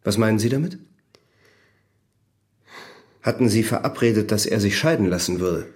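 An actor playing a persistent, methodical examining magistrate with a formal, probing manner in a German radio drama. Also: male voice, on the low side, normal speed, lightly acted. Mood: insistent.

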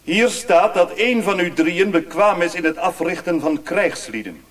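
An actor playing a haughty, sardonic Chinese warlord governor in a radio drama, imperious and questioning. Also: male voice, high-pitched, animated, normal speed, fairly bright tone, far from the mic. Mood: amused, commanding. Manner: imperious, interrogating.

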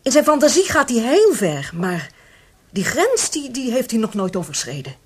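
A woman playing an anxious, insistent middle-aged mother in a radio drama, speaking haltingly and with feeling. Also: fairly high pitch, very animated, husky. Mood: anxious, defensive. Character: anxious middle-aged mother defending her troubled son. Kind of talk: Dutch radio drama acting.

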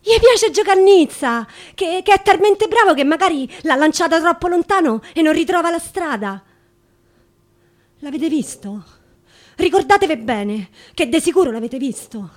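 A woman's voice: very high voice, expressive, normal speed. An actress playing an anguished working-class woman in wartime, with a Roman accent and an earthy, theatrical delivery. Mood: anxious, pleading. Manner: earthy, emotive dialect delivery. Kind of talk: theatrical dramatic monologue and dialogue.

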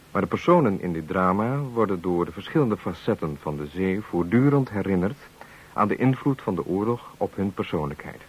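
A man giving a formal, measured, solemn scripted introduction to a radio play as its announcer. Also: low-pitched, a little mellow, husky, lo-fi sound. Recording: hissy old radio recording.

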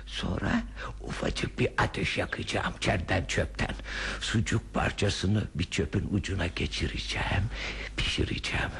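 An actor playing a wistful, daydreaming old man in a radio play, talking in a halting, rambling way. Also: deep voice, vocal fry, fairly bright tone, breathy edge, exaggerated voice. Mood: dreamy, wistful. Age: older. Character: wistful, dreamy old man longing for a bicycle. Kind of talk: radio theatre acting. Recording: radio play with some background noise.

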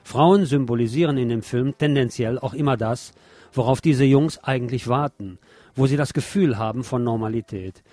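A man's voice: medium pitch, normal speed, mellow, no echo. A man hosting a radio programme, presenting and reading aloud in a formal, courteous manner. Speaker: radio show host. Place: radio broadcast.

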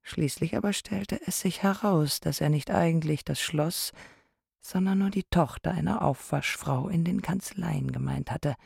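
An actress in a radio drama playing a sceptical, teasing village woman with a husky, gravelly voice. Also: low voice, unhurried, a little mellow, exaggerated voice. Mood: sardonic.

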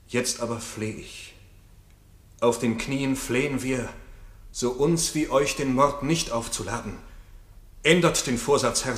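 A man's voice: mid-range voice, fairly bright tone, natural voice. Man acting a humble courtier, echoey room. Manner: formal, beseeching. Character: humble loyal courtier, earnest supplicant. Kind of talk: classic German radio drama stage acting.

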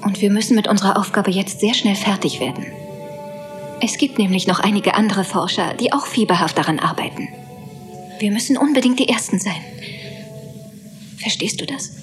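A woman's voice: medium pitch, moderate pace, long pauses, soft background music, lightly acted. Woman playing secretive, urgent scientist mother. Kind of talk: film drama dialogue.

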